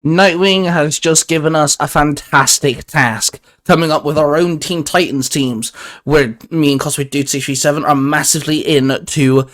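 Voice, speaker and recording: A man with a slightly high voice co-hosts a casual geek chat. He rambles along in a chatty, hesitant fashion, like a fan talking comics with a friend. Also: fairly even intonation, normal speed, fairly bright tone. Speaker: co-host of a geek discussion channel.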